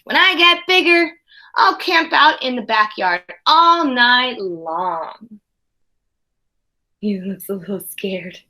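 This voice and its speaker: A woman's voice: a little high, lively intonation, slow pace, bright, forceful tone. A woman teaching young children, reading a storybook aloud expressively and chatting to them in conversational asides. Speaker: early primary school teacher.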